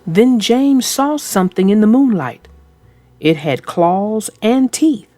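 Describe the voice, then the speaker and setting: A woman reading a children's storybook aloud in a slow, expressive way, then chatting casually about it. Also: mid-range voice, no room sound. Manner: slow, expressive read-aloud with character voices. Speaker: storybook reader and co-host.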